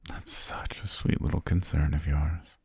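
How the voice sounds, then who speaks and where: A man playing a calm, possessive governor soothing his pet in an ASMR roleplay, breathy and half-whispered, slow, gentle and softly commanding, very close to the mic. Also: a bass voice, no room sound, full character voice. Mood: reassuring, possessive. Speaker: ASMR voice actor. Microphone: very close, dry microphone.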